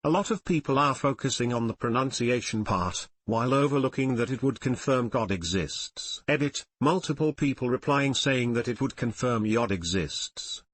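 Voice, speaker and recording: A man's voice: mid-range voice, expressive, moderate pace, hardly any pauses, no room sound. A man reading online forum comments aloud in a plain, matter-of-fact way. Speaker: comment reader.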